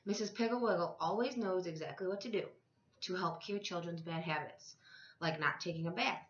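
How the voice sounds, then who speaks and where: A woman reading a story aloud to young children like a teacher, with expressive, deliberate phrasing. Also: on the low side. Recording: echoey room, slightly dull sound.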